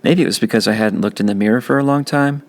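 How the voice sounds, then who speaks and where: A man's voice: fairly low pitch, fast talker, darkish tone. A man reading a first-person novel aloud as a teacher would, in audiobook narration.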